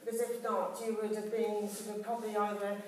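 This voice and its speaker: A woman's voice: mid-range voice, flat delivery, a little fast. Chatty woman demonstrating flowers in an echoey hall. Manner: rambling, chatty, many ums and restarts. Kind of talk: live floristry demonstration with chatty asides. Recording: echoey hall, recorded from a distance.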